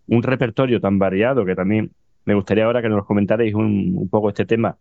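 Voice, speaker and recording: Spanish guy doing a casual interview, lowish voice, bit hesitant, muffled dry recording. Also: fairly even intonation, fast talker, gravelly, dry recording. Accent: Spanish from Spain.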